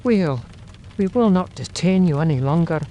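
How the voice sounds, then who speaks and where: A performer acting a police inspector in a period mystery drama. The voice is mellow and soft-edged, probing yet courteous and formal, with some noise behind it. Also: mid-range voice, lively intonation, moderate pace, close to an everyday voice. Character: polite, probing male police inspector questioning a witness.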